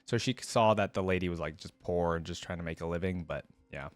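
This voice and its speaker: Male reaction host, lowish voice, informal and chatty delivery, dry recording. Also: brisk, no echo. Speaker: reaction video host and reader. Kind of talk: casual reaction commentary and story reading aloud.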